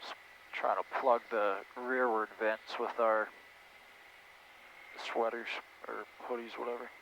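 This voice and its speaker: A man's voice: mid-range voice, level tone, slow pace, a bit bright. A man giving casual flight instruction through an aviation headset in a small airplane. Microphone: aviation headset intercom. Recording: dull intercom audio, faint cockpit noise.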